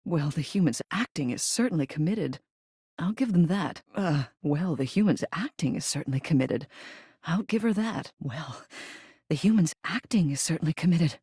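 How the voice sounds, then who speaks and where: A woman's voice: low-pitched, animated, moderate pace, mellow, slightly rough, no room sound, full character voice. A woman voicing a genteel, wry older female living ceiling who loves theater in a video game, refined and composed with dry wit. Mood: measured appraisal. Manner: refined, composed, dryly witty theater critic.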